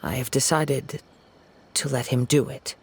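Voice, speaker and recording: A man playing a composed young wandering samurai from a video game, courteous and measured. His voice sits at a middle pitch. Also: steady tone, loud music behind the voice, lightly acted.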